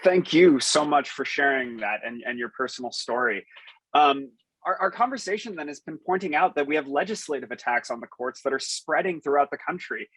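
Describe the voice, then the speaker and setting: Husky-voiced male panel moderator. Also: a little high, lively intonation, brisk, fairly bright tone, dry recording, rough recording. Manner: polite, steering the talk along.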